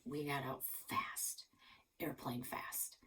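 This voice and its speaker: A woman reading a children's book aloud in an expressive, dramatic storytelling style. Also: a bass voice, slow pace, in a whisper. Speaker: library read-aloud reader.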